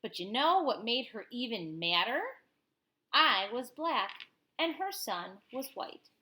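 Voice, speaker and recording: A woman's voice: fairly high pitch, sing-song, takes it slowly, bright, forceful tone, a little hiss or hum. A woman teacher reading a picture book aloud expressively, with informal explanatory asides to children.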